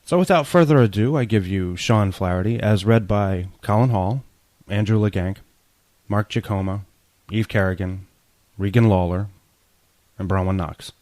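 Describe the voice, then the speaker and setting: Male host, fairly low voice, dry sound, introducing a reading. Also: moderate pace, darkish tone, dry recording.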